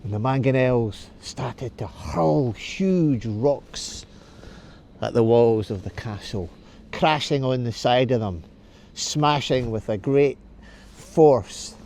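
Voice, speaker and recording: A man's voice: mid-range voice, slow pace, a little mellow, a little hiss or hum. A man telling a story for children, stopping to ask and answer questions. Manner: explains as he tells, asks and answers questions.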